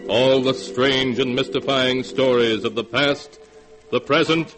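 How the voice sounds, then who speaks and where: A man hosting a 1940s radio horror series, narrating in a portentous, theatrical, measured style. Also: medium pitch, fairly even intonation, low-quality recording. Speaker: radio announcer and host. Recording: vintage 1940s radio recording. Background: music.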